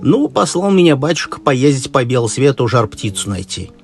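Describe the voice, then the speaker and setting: A man's voice: medium pitch, sing-song, moderate pace, voice pushed up. A man voicing a dutiful young prince in a fairy tale, respectful and a little plaintive. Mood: downcast. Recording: music behind the voice.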